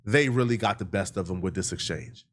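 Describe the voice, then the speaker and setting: A man's voice: deep voice, fairly quick. A man giving emphatic, indignant, slangy commentary, repeating phrases for effect. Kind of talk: animated opinionated video commentary.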